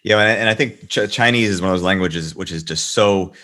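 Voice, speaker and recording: A man hosting a casual interview, asking halting questions that repeat words. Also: fairly low pitch, bright, forceful tone. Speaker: host.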